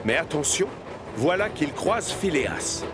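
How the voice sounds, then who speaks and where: A man's voice: a little high, big pitch swings, slow pace. A man narrating a scripted children's cartoon story. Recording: music behind the voice.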